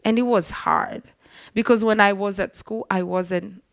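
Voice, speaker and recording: A woman with a Rwandan accent recounts her own life as an interview guest, her voice sitting at an ordinary middle pitch. She tells it earnestly and reflectively, with lively turns. The sound is dry and close, with no room echo. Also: measured pace, gravelly. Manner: earnest, reflective, animated storytelling. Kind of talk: personal storytelling in an interview.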